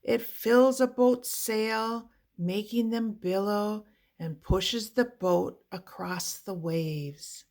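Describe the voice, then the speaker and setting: Female storybook reader, mid-pitched, very slow delivery, expressive phrasing aimed at small children. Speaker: picture book reader. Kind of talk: read-aloud children's storybook narration.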